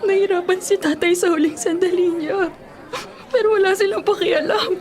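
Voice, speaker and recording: High-voiced, plaintive orphaned young woman. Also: steady tone, moderate pace, a little mellow. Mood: bitter, hurt. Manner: plaintive, emotional. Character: grieving orphaned young nursing student, anxious and bitter. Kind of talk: radio drama acting. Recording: music and crowd noise behind.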